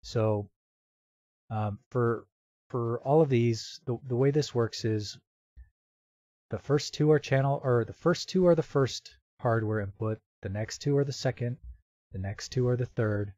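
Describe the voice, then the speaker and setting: A man presenting a casual software tutorial in a halting, step-by-step way, as if thinking aloud. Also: mid-range voice, dry recording, lo-fi sound. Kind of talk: casual screen-recorded tutorial narration. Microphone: computer microphone with real-time noise removal.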